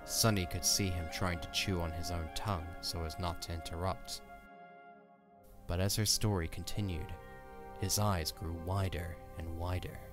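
A man's voice: sits low, steady tone, moderate pace, over music. A man narrating a scripted audio drama as a dramatic storyteller.

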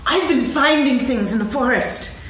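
An actor playing a bouncy, eager young male tiger in a staged reading, with a drawn-out delivery. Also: very high-pitched, fairly bright tone, slight background noise. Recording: echoey hall from far off.

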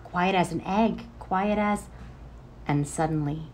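A middle-aged woman reading a children's picture book expressively, with drawn-out, dramatic phrasing. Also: on the low side, darkish tone, a little hiss or hum. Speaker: storyteller. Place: outdoors on a paved porch.

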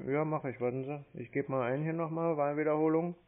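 A man's voice: fairly high pitch, steady tone, a little fast, slight background noise. A man in a casual phone conversation, talking as a customer over a tinny line. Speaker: scam-baiting show host posing as a customer on the phone.

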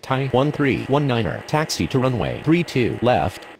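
Man playing formal sim-game aviation radio operator; gravelly, measured, slight noise behind. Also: medium pitch, big pitch swings. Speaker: pilot and air traffic controller radio voice. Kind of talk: scripted aviation radio phraseology.

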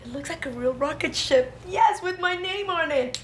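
A woman preschool teacher with a high voice that swings up and down in a sing-song way as she guides small children through a craft. She sounds exclamatory and instructional, recorded in an echoey classroom. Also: expressive, moderate pace. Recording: roomy classroom echo, faint background noise.

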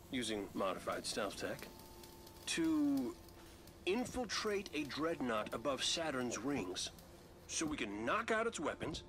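An actor playing a cocky, roguish robot scout in a video game, with a flippant, sardonic way of talking. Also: male voice, fairly high pitch, fairly bright tone, a little hiss or hum, full character voice. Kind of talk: cinematic game acting.

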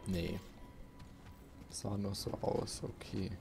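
A man's voice: on the low side, fairly even intonation, slow, creaky, over loud music. A man giving casual let's play commentary, thinking aloud and muttering questions to himself.

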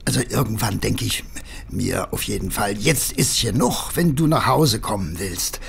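An actor playing a humble, nervous working-class messenger with a Berlin accent, rambling and hesitant in colloquial speech, in an audio drama. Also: male voice, on the low side, lively intonation, moderate pace, gravelly, a little hiss or hum. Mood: rambling, sheepish. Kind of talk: German audio drama acting.

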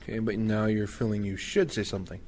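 A man's voice: on the low side, fairly even intonation, fast talker, darkish tone, close to an everyday voice. Man acting as patient father, noisy background. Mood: gentle, earnest. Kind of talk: audio drama acting.

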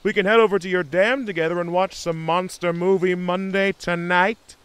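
A man's voice: high voice, steady tone, moderate pace, fairly bright tone, clear, resonant tone, no echo. A man voicing a suave, affectionate male cartoon beaver with a cool, sweet-talking manner. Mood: smooth, affectionate.

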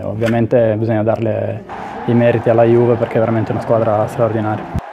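A man's voice: lowish voice, monotone, a little fast, vocal fry. A man in his twenties, a footballer answering questions in a post-match interview. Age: 20s. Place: indoor press area in front of sponsor backdrop. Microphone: handheld interview mic. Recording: slightly dull, noisy interview audio.

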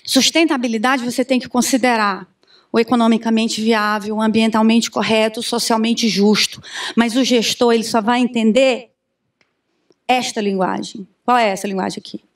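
A Brazilian woman in her thirties giving an animated conference talk on a handheld mic, often asking the audience questions. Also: on the high side, moderate pace. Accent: Brazilian Portuguese. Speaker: conference speaker. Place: large conference room with audience. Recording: distant room sound from far camera.

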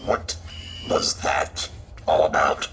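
An actor playing a polite, apologetic combat droid with formal, precise speech and a robotic filter, in a sci-fi audio drama. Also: male voice, takes it slowly, a bit bright, far from the mic.

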